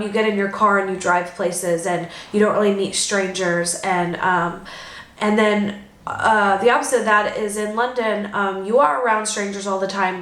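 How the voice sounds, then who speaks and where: A woman's voice: medium pitch, fairly bright tone. A young woman with a Californian accent chatting casually and informally in an improvised vlog, peppering her talk with "like" and little asides. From Los Angeles, United States. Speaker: vlogger. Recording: echoey room with some background noise.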